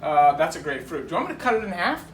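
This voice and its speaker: A man's voice: fairly high pitch, very animated, rapid-fire. A man giving a casual, instructive and enthusiastic demonstration, explaining things as a guest presenter. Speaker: guest presenting tropical fruits. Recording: roomy echo, clear background noise.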